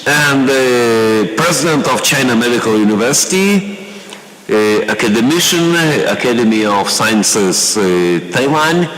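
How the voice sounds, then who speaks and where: A man's voice: mid-range voice, expressive, fairly bright tone. A man, an English speaker, giving a formal welcome as he introduces a guest lecturer, hesitating often with fillers. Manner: halting, many fillers and restarts. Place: large lecture hall. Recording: echoey hall, long reverb.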